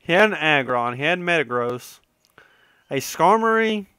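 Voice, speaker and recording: A man's voice: fairly high pitch, animated, normal speed, sharp tone, clear, resonant tone, no echo. A man giving casual, rambling gaming commentary, conversational and opinionated, often trailing off and correcting himself. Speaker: gaming video host.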